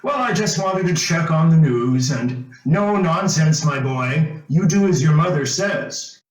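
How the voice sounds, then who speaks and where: Man playing pompous paternal gent, animated pitch. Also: unhurried. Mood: stern, paternal. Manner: pompous, formal wording. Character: pompous, stern older professional man, paternal. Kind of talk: old-time radio drama acting. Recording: echoey room.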